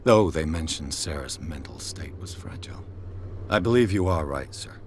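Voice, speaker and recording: Casting: terse, jaded private eye, extremely low register, video game drama, faint noise behind. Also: male voice, lively intonation, moderate pace. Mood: pensive, skeptical. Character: jaded male private detective, curt and world-weary.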